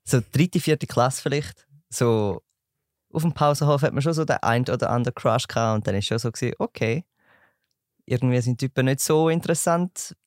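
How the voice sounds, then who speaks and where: A young man from St. Gallen with a Swiss German accent, a podcast guest chatting in a relaxed, joking way. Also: medium pitch, fairly quick, dry recording, clean studio sound. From St. Gallen, Switzerland. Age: young adult. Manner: relaxed, joking, laughs while talking. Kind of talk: casual podcast chat.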